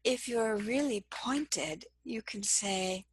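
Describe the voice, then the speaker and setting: A woman with a soft voice giving a slow, measured, gentle lesson on communication as a trainer. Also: a little high, breathy edge, no echo, clean studio sound. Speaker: cross-cultural communication trainer. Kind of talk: instructional lesson to camera.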